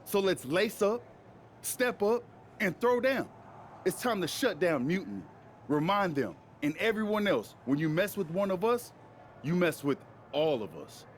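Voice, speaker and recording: A man's voice: high-pitched, very animated, unhurried, a little hiss or hum. A man playing a defiant, loyal tag-team wrestler in a video game, talking in slangy, emphatic short phrases. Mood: rousing, defiant. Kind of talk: wrestling promo and video game cutscene acting.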